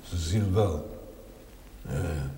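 Mellow, measured man, very reverberant. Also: low voice. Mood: wry, probing. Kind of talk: naturalistic television drama acting. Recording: roomy, distant, faintly noisy 1970s television soundtrack.

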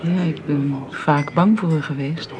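A woman's voice: sits low, fairly even intonation, unhurried, crowd noise. An actress playing a hesitant, earnest and deferential young woman in a Dutch radio drama. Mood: moved, shy.

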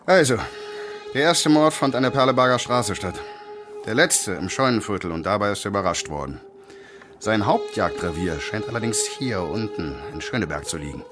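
A deep male voice over a prominent music bed. A man plays a gruff police inspector in a radio drama. He is terse and commanding, with a sardonic edge. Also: animated, moderate pace, natural voice. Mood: grim, businesslike. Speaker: actor. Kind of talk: German radio drama acting.

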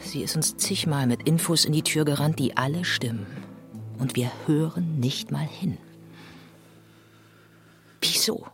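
A man's voice: on the high side, measured pace, long pauses, fairly bright tone, faint music behind. A man playing a matter-of-fact, persistent police detective in a radio drama, speaking in an insistent, businesslike way. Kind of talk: German radio drama acting.

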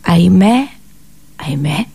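Woman performing a dramatic, halting monologue. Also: fairly low pitch, lively intonation, creaky, mellow, husky. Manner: dramatic, halting, with deliberate pauses and repetitions. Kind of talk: theatrical radio drama monologue.

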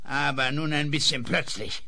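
An actor playing a ruthless, cursing Western outlaw in a radio play, raspy and gravelly, with a sneering, threatening delivery. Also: male voice, a little high, fairly even intonation, normal speed, pushed and bright, exaggerated voice. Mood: sneering menace. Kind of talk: 1970s German radio play acting. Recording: vintage vinyl radio play recording.

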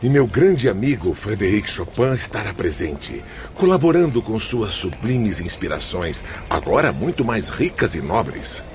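An actor playing a dignified, lofty poet's spirit in a Brazilian radio drama, with a pompous, declamatory manner. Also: male voice, lowish voice, big pitch swings, a little slow, few pauses, a little hiss or hum. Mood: enthusiastic. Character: Vitor Hugo, dignified, lofty poet spirit. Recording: music under the voice.

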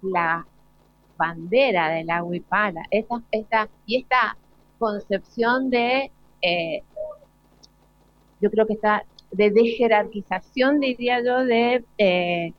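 An Argentine woman speaking as a panel guest in a deliberate, reflective and somewhat hesitant way. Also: medium pitch, dry recording. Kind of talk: reflective unscripted panel talk. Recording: dull lo-fi live broadcast.

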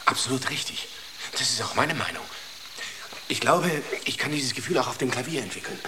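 An actor in a German radio drama playing an earnest, approving musician. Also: male voice, medium pitch, animated, a little fast, lightly acted. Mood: keen agreement. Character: earnest male musician, approving fellow artist. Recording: clearly noisy ambience behind the voice.

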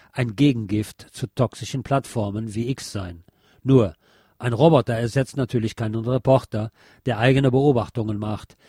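A man narrating a scripted journalistic essay in a measured, formal read-aloud delivery. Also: medium pitch, dark tone, breathy edge, dry recording, studio quality.

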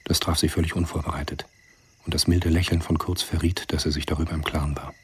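A man reading scripted narration for a German radio drama in a gravelly, husky voice. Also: a bass voice, little pitch movement, quick pace.

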